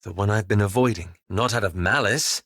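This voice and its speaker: A man playing a reserved, scholarly, self-doubting young elf adventurer in a video game, speaking in a formal, measured, wryly understated way. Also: fairly low pitch, a bit bright, no echo, studio quality. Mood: reserved, thoughtful. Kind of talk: video game companion dialogue.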